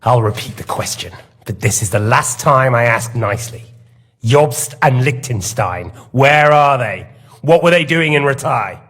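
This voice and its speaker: Cruel, self-satisfied interrogator lord, mid-pitched, theatrically mocking, very animated delivery for game drama. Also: male voice, a little slow. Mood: threatening. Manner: mocking, theatrical, mock-polite menace. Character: sadistic, smug villainous lord interrogating prisoners.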